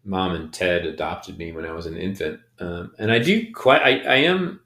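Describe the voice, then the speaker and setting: A middle-aged man co-hosting a podcast, talking reflectively in casual conversation, with many pauses and restarts. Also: low-pitched, lively intonation, a bit bright. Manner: hesitant, many ums and restarts. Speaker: podcast co-host. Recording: echoey room.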